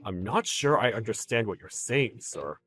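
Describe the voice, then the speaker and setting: A calm, deferential male employee, acted by a man in a story drama. He speaks formally and with restraint, quietly firm. The voice is clear-edged and bright, with faint music behind it. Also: on the low side, normal speed, voice pushed down. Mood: apologetic.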